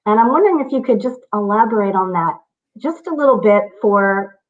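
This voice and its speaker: A woman's voice: mid-range voice, expressive, a little fast, muffled recording. A woman speaking as a public speaking coach in a casual interview, encouraging and coaching her listeners over a video call.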